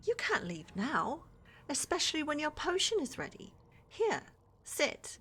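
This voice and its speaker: A woman playing a flirty, scheming witch in a fantasy ASMR roleplay, with a breathy, teasing, coaxing delivery that often drops to whispers. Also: high voice, wildly swooping pitch, measured pace, a bit bright, heavily acted. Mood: gloating, teasing. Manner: teasing, coaxing, sugary endearments with theatrical menace. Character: flirty, scheming witch who runs a potion shop. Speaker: voice actor in audio roleplay.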